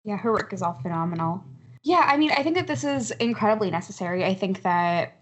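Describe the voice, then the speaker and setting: A woman's voice: medium pitch, expressive, fairly quick. A woman making her case earnestly and articulately in a critical panel discussion. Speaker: roundtable panelist.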